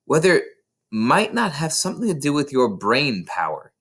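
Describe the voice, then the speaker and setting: A man voicing a patient, careful woman schoolteacher in a storybook, with a measured, explanatory, cautioning manner. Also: medium pitch, big pitch swings, a bit bright, breathy edge, pitched above the performer's own voice, full character voice. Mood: thoughtful, careful. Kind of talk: children's storybook read aloud.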